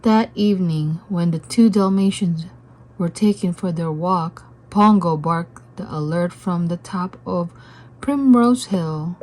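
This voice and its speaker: A woman reading a children's story aloud as scripted narration, with deliberate, expressive phrasing. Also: fairly low pitch, poor audio. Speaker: storybook reader.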